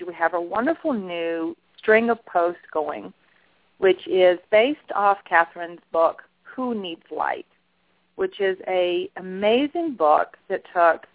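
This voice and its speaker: A woman's voice: fairly low pitch, no room sound. A woman co-hosting a call-in show, chatting enthusiastically about an online group. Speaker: co-host making announcements. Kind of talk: casual chat. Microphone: telephone. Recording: tinny phone line.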